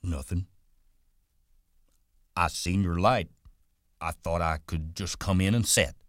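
A hulking, simple-minded farmhand speaks in a hesitant, childlike, pleading way, as a male audiobook reader puts him on. His low voice is lifted a little for the part. The sound is dry and close. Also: slow pace, fairly bright tone, no echo.

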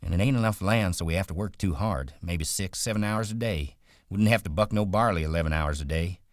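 A man voicing a ranch worker who talks in a rustic drawl, brisk and rolling. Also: sits low, no echo, studio quality, pitched above the performer's own voice, lightly acted. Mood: dreamy. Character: wiry male ranch worker, guarded and dreaming.

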